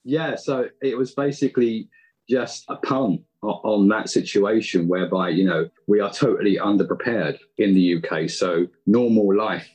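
A man's voice: on the low side, normal speed, a little mellow, husky, rough recording. A British man chatting casually as a guest in an interview. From United Kingdom. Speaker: interview guest, audio drama writer.

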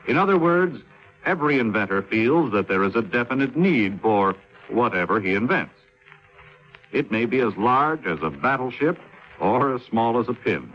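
A male radio announcer reading a scripted 1950s public-information piece in a measured, explanatory way. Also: mid-range voice, breathy edge. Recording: dull 1950s radio recording, faint music.

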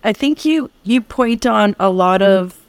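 A woman's voice: medium pitch, lively intonation, a little slow, no room sound. Empathetic woman host, dry close sound. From United States. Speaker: podcast host. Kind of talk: conversational interview.

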